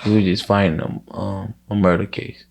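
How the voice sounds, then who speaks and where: Low, creaky-voiced man chatting casually. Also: flat delivery, normal speed, a little mellow. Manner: slangy, rambling, repeats himself. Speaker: interview guest, rapper. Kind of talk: casual interview chat.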